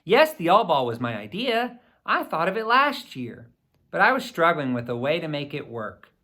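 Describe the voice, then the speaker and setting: A man narrates a children's story in the first person. His voice is bright and very lively, sweeping up and down in pitch with theatrical, expressive phrasing for young listeners. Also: normal speed. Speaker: audiobook reader.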